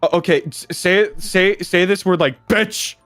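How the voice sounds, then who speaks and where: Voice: medium pitch, fairly even intonation, moderate pace, fairly bright tone, no echo, faint music behind. A giggly co-reader on a livestream, chatting casually and interjecting jokes around a comic dramatic reading.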